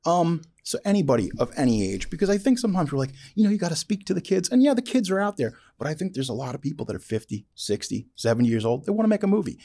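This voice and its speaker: A man's voice: fairly high pitch, very animated, fast talker, hardly any pauses, warm tone. A man hosting a casual interview, talking in a colloquial, emphatic way and swearing freely. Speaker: podcast host interviewing a guest. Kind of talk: casual chat.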